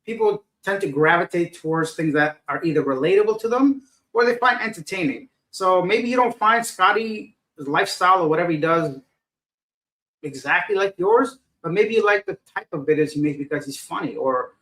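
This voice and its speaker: A man's voice: high-pitched, lively intonation, normal speed, a bit bright, poor audio. Rambling male livestream host reading chat. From Afghanistan. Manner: rambling, loses his train of thought, self-deprecating. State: tired and unfocused. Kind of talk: casual live chat.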